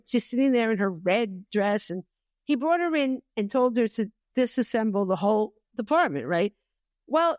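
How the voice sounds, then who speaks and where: A woman's voice: a little high, a little mellow, no echo. A woman hosting a podcast, chatting casually and opinionatedly in an exasperated way with lots of rhetorical questions. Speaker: podcast host.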